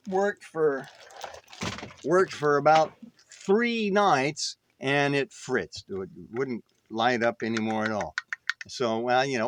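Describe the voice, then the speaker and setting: A man's voice: mid-range voice, background noise. An older man in his sixties or beyond tells a casual, rambling story as a vlogger, in a folksy, self-deprecating way. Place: inside an RV.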